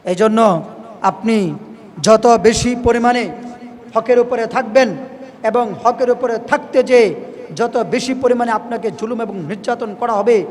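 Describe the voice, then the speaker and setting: A male Islamic preacher speaks in a distinctly high register. He exhorts his listeners emphatically, repeating phrases to drive them in. His voice carries a long, echoing hall reverb. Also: measured pace, pauses often, a little mellow. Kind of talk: impassioned sermon to listeners.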